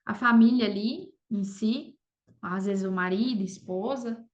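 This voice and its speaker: A woman's voice: medium pitch, a little slow. A Brazilian woman speaking Portuguese as a podcast guest, telling her story casually and often seeking agreement with little tag questions. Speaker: podcast guest, affiliate marketer. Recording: dull lo-fi audio.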